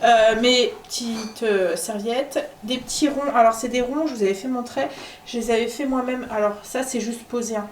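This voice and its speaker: A woman vlogging casually from home, with a stuffy nose from a cold, chatting with lots of filler pauses. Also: a little high, fairly even intonation, echoey room, a little hiss or hum. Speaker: family vlogger. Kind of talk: casual home vlog chat.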